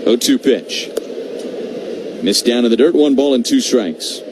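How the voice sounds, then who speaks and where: A man doing live baseball play-by-play on radio, talking in measured short phrases with conversational asides. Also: mid-range voice, animated. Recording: clearly noisy live radio broadcast.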